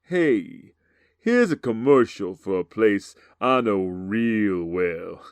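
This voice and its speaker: Man playing a slow, creepy, lewd old man. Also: on the low side, animated, clean tone, no room sound. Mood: sly, lewd. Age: older. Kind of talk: comedic horror radio host.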